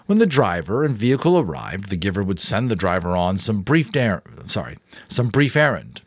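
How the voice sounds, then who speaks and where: A man's voice: lowish voice, very animated, normal speed, no room sound, studio quality. A man narrating an audiobook in a dramatic reading, with some casual commentary.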